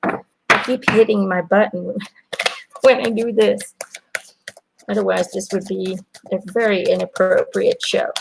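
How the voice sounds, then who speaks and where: Chatty, gravelly-voiced Belgian woman reading cards. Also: mid-range voice, steady tone, measured pace, long pauses, noisy background. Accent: American-sounding English. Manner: chatty, hesitant, repeating names and phrases. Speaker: angel card reader. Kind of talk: live-stream card reading. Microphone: webcam.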